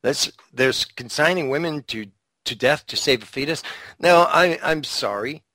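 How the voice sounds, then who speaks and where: A man's voice: a little high, measured pace, sharp tone, no room sound. Blunt, foul-mouthed male podcast host. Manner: blunt, provocative, swears freely. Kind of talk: casual opinionated chat.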